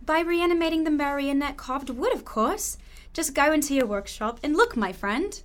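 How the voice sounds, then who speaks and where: A woman's voice: high-pitched, lively intonation, normal speed. A woman playing a commanding magic fairy in an audio drama, speaking formally with motherly authority. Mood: calm, matter-of-fact.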